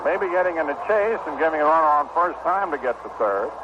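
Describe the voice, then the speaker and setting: An American man calling baseball play-by-play on 1960s radio in a measured, descriptive way with pauses. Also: on the high side, lively intonation. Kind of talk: live 1960 radio sports commentary. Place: ballpark broadcast booth. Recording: noisy muffled vintage 1960 radio broadcast.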